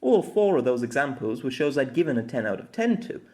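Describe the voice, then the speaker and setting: A man narrating a scripted opinion essay as host, in deliberate, formal phrasing with colloquial asides. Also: mid-range voice, very animated, mellow. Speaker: host of an anime audio essay.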